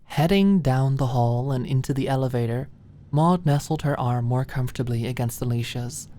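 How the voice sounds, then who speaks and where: A woman's voice: extremely low pitch, normal speed, mellow. A woman reading scripted story narration for an ASMR-style audiobook.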